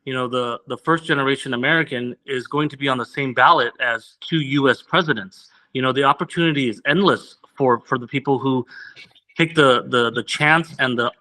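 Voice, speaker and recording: A man's voice: medium pitch, fairly quick, pushed and bright, poor audio. A man whose first language is Vietnamese, a candidate earnestly answering interview questions with many restarts. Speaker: political candidate.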